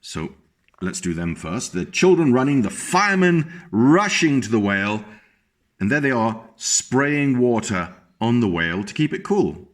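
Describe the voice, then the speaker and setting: A man telling a story to young children, his voice lively and rising and falling over a wide range. He sits at an everyday middle pitch, pausing often to point things out and explain to small listeners. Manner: animated, pauses to explain pictures to small listeners. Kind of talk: reading a picture book aloud to children.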